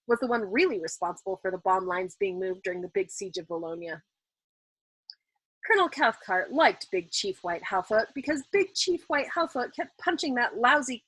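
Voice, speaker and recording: A woman reading a novel aloud in an expressive, dramatic audiobook style, as the host of a storytime. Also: fairly high pitch, breathy edge, no room sound, poor audio.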